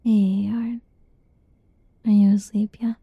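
Young woman, soft teasing ASMR whisper, slow, gravelly fry, dry close mic. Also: on the high side, little pitch movement, no echo, highs cut off. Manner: playful giggles between lines. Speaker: roleplay narrator. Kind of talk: ASMR roleplay.

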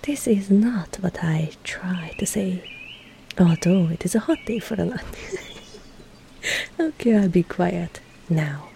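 A woman playing a teasing young medieval maid in an intimate roleplay drama, coy and playful, often dropping into breathy, hushed tones. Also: lowish voice, expressive, a little hiss or hum, exaggerated voice. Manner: coy, playful, soft breathy asides, slow deliberate phrasing. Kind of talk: intimate roleplay audio drama, partly whispered.

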